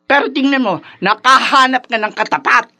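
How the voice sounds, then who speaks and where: Casting: nagging Filipino radio-drama mom, high piercing voice, swooping melodramatic scolding. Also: female voice, moderate pace, pushed and bright, faint music behind. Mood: fed up. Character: exasperated, scolding mother of a troublemaking son.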